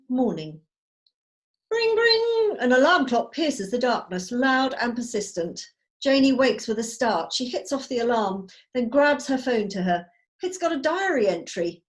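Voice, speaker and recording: A woman giving scripted narration, reading out stage directions on a video call. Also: fairly high pitch.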